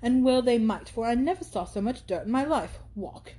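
A woman's voice: a little high, animated, normal speed, dark tone, close to an everyday voice. A woman reading aloud and voicing a brash, boastful young man who talks over everyone. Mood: insistent. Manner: blustering, insistent.

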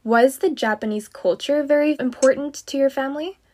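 A woman's voice: high voice, a little fast, a little mellow. Enthusiastic female podcast host. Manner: enthusiastic, effusive. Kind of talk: warm, chatty interview with scripted intro.

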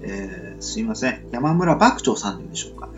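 A man hosting a casual solo podcast in a polite, humble, halting and apologetic way. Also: medium pitch, very animated, lo-fi sound, faint music behind. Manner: halting, apologetic, self-deprecating. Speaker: podcast host introducing web novels. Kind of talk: casual solo radio talk.

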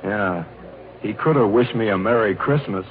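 An actor playing a wounded young Navy lieutenant, earnest and halting, in a 1940s radio drama. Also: male voice, fairly low pitch, phone-quality sound, natural voice. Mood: dejected. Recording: thin, noisy old radio recording.

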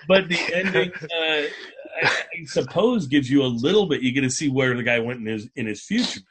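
A man's voice: mid-range voice, expressive, moderate pace, fairly bright tone, slight background noise. A man hosting a podcast in casual chat, talking in an informal, joking way. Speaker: podcast host.

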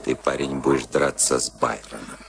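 A cynical streetwise convict, super low voice, bossy and coarse, old soviet radio play. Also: male voice, fairly even intonation, normal speed, gravelly. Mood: cynical, menacing. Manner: commanding, sardonic, coarse street talk. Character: cynical, streetwise camp convict Tim, bullying boxing-match organiser.

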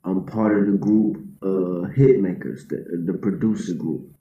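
A man giving clues in a casual guessing game with friends, halting and full of fillers and restarts. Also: deep voice, fairly even intonation, reverberant, dull audio.

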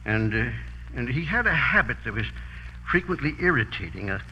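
An actor playing a formal, hesitant, helpful gentleman in a 1940s radio drama. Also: medium pitch, big pitch swings, normal speed. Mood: polite, apologetic. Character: prim, hesitant, helpful male witness. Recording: noisy, dull old radio recording.

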